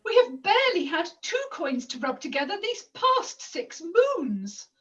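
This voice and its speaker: A woman's voice: very high voice, very animated, unhurried, fairly bright tone, exaggerated voice. A woman playing a poor, wary young maiden in an amateur pantomime, speaking in a plaintive, mock-archaic, theatrical way. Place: at home, indoors. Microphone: video call. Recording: distant, dull home video-call audio.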